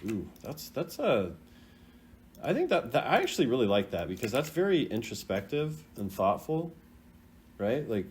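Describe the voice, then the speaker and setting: A man's voice: mid-range voice, wildly swooping pitch, moderate pace, a little mellow, slight background noise. A man doing casual reaction commentary as a gaming creator, thinking aloud in an informal, rambling way. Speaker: video game content creator ranking voice lines.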